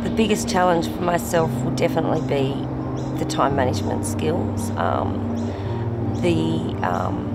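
Woman early forties, low-ish voice, thoughtful pauses, loud music. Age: 40s. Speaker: triathlete. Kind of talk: documentary interview. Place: outdoors in a park.

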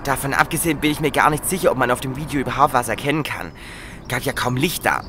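A woman playing a bossy, sceptical young boy detective in an audio drama, brisk and reproachful. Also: very deep voice, fairly bright tone, a little hiss or hum.

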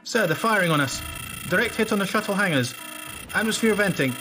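An actor playing an anxious, obedient deck officer in a science fiction audio drama, reporting with urgency. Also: male voice, high voice, animated, a little fast, sharp tone, faint music behind, full character voice, poor audio.

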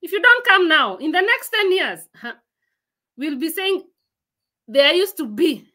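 A woman's voice: very high-pitched, lively intonation, a little slow, sharp tone. A woman in her forties hosting a casual livestream from a room at home, chatty and laughing often as she reads and answers viewers' comments on a laptop mic. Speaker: livestream host.

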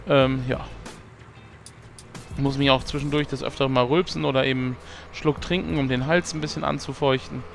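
A hoarse man hosting a let's play, chatting casually and self-mockingly, thinking aloud with fillers as he plays. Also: mid-range voice, steady tone, a little fast, faint music behind. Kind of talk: casual gameplay commentary.

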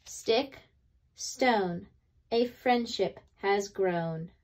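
A woman reading a children's picture book aloud expressively, with deliberate dramatic pauses between phrases. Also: mid-range voice, a little mellow, rough recording. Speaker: read-aloud storyteller.

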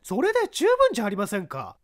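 A man voicing a brazen young woman, a haughty and sharp-tongued scout, in a dramatised audiobook. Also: high-pitched, wildly swooping pitch, quick pace. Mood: indignant.

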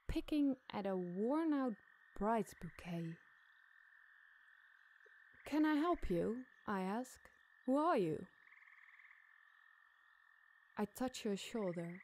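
A woman narrates a spooky campfire tale at a slow, suspenseful pace. Night ambience with frogs is clearly audible behind her mid-pitched voice. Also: darkish tone, slight background noise. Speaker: podcast storyteller. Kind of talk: dramatic scary campfire story narration.

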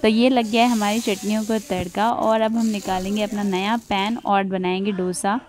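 A woman's voice: medium pitch, flat delivery, moderate pace, darkish tone. A woman in her thirties chatting through a home cooking vlog, slipping English phrases into her talk. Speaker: cooking vlogger. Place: home kitchen, indoors.

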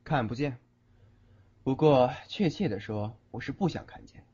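Guy acting cool brusque college guy, really varied pitch, audio drama, music under, bit muffled. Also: animated, unhurried, dark tone, slightly rough. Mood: cold, stern. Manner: curt, cool, threatening when annoyed. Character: brusque young male student, ghost-seeing exorcist. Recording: dull lo-fi audio, background music.

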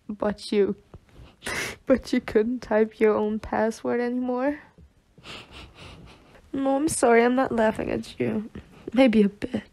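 Woman acting a slow-talking, protective twin sister. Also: fairly high pitch, vocal fry, dark tone, no room sound. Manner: sweary, sighing, half-muttering. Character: protective, exasperated, foul-mouthed twin sister, tender toward her girlfriend. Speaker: roleplay voice actor. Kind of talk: ASMR roleplay acting.

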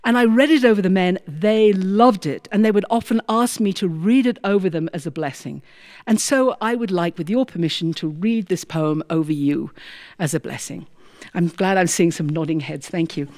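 A middle-aged British woman telling a story live, with dramatic, deliberate delivery. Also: fairly low pitch, a little mellow. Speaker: storyteller, former prison chaplain. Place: recording studio with small audience.

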